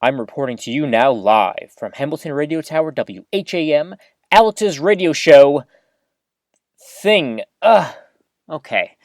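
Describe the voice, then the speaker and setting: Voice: medium pitch, measured pace, no room sound, studio quality. An actor playing a rambling, easily flustered small-town radio host giving a live broadcast in an audio drama, awkward and chatty. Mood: awkward, earnest. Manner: awkward, animated, throat-clearing, chatty.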